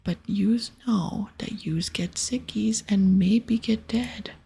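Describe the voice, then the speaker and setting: A woman's voice: medium pitch, warm tone, exaggerated voice. A woman voicing a dim, worried male ogre in whispered, broken baby-talk for a game. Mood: gruff, cautioning. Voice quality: whispered, breathy. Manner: slow, broken childlike grammar.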